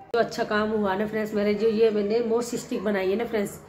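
A middle-aged woman hosting a gardening vlog, hoarse from a cold and chatty, talking to viewers on a phone held at arm's length on her rooftop terrace. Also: mid-range voice, flat delivery, fast, darkish tone, a little hiss or hum. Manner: chatty, repeats "friends" often. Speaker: gardening channel host. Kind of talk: casual vlog talk to viewers. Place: home rooftop terrace with plants, outdoors.

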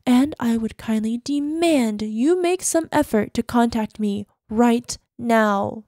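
Woman acting a high-voiced, anxious, possessive girlfriend. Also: animated, takes it slowly, a little mellow, no echo, clean studio sound. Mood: stern, exasperated. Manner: sugary pet names, hesitant pleading sliding into controlled threat. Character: anxious, clingy, quietly menacing possessive girlfriend. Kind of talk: ASMR roleplay voicemail acting.

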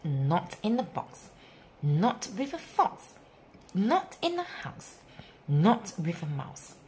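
Female children's storyteller, hugely swooping intonation, unhurried sing-song read-aloud, slight background noise. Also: lowish voice, sing-song, darkish tone. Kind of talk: expressive read-aloud of a rhyming picture book for young children.

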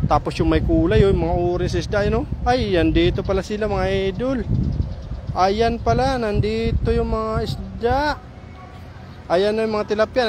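A man's voice: high voice, background noise. A man from Samar vlogging casually on a phone as he walks through a park by a pond, chatting and exclaiming to his viewers. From Samar, Philippines. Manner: chatty, excited exclamations, calls viewers "idol". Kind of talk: casual walking vlog, talking to viewers. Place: outdoor park by a pond, daytime.

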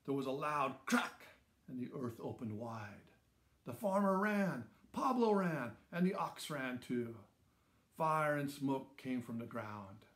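A man in his sixties narrates a children's story at a slow pace. His phrasing is measured and simple, with dramatic emphasis on key words. The recording has a clear room echo around the voice. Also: mid-range voice, sing-song, fairly bright tone. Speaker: storybook reader.

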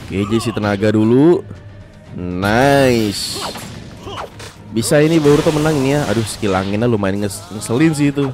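A young man with a stuffy, blocked nose giving excitable, slangy play-by-play of a fighting game and talking straight to his viewers. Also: medium pitch, normal speed. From Indonesia. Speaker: gaming commentator. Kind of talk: casual gameplay commentary. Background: game music.

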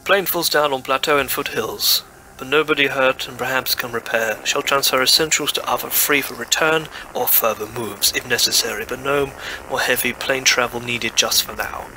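A man's voice: medium pitch, fairly even intonation, moderate pace, pushed and bright, slight background noise, low-quality recording. A man playing a driven, zealous scientist-explorer in an audio drama, earnest and intense. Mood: excited, reporting. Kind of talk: dramatic audio play acting.

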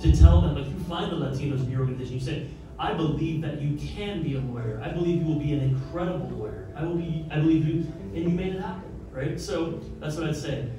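Panelist, thirties, energetic and emphatic; handheld mic in a very echoey room, distant pickup. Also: male voice, fairly high pitch, fast. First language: English. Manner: fired-up, emphatic, talks with his hands. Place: large office event space, indoors. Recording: roomy, from afar, with music.

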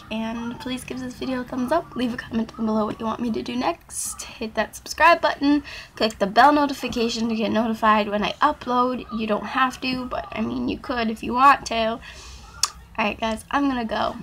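A teenage girl vlogging at her webcam, hoarse and gravelly from a sore throat, chatting in a rambling, apologetic way full of ums. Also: on the high side, a bit bright, slight background noise, poor audio. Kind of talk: casual chat to camera. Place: small dark bedroom. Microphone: webcam at arm's length.

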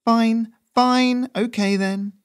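A man's voice: very high voice, steady tone, very slow, smooth, pitched above the performer's own voice, full character voice. A man voicing a breezy, cocky postman who rides a goose, talking in a chummy, teasing, upbeat way.

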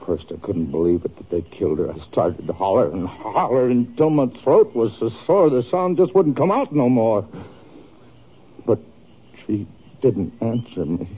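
An actor playing a wounded, confused, vengeful frontier farmer with husky, rambling, rustic speech in a 1950s radio western. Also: male voice, on the low side, animated, moderate pace. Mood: grieving, haunted. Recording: muffled, tinny old radio recording.

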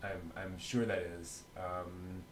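A middle-aged man co-hosting a news show in a casual, chatty way with a halting delivery. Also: deep voice, level tone, a little hiss or hum, poor audio. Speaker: co-host of an anime news show.

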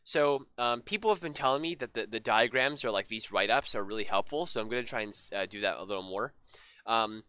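A young man explains code over his screen in an easygoing, rambling way, with plenty of ums. His voice is bright and pushed forward, a little hoarse from a cold. The sound is dry and close from a headset in a small room. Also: medium pitch, a little fast, no room sound. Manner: relaxed, many ums and restarts. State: slightly hoarse from being sick. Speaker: programming tutorial host. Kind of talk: casual screen-share coding lesson.

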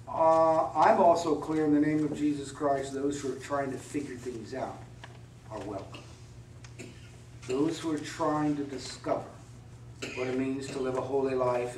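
A man's voice: mid-range voice, darkish tone, a little hiss or hum. A middle-aged man, a pastor, preaching a sermon in a conversational, wry way with many rhetorical questions. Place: church sanctuary. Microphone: mic at a distance on a pulpit stand. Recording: roomy church echo from a distance.

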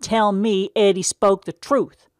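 A stern old country farmer, as a woman narrator puts him on, talking in plain folksy speech. He is raised and firm, louder than the reading voice. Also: mid-range voice, steady tone, measured pace, no room sound, studio quality, voice pushed up, lightly acted.

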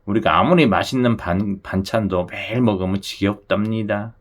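A man's voice: on the low side, normal speed. A man with a regional Korean dialect hosts a chatty talk to viewers, often asking them to agree as he goes. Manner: chatty, repeats "그죠?" to the audience. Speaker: lottery channel host.

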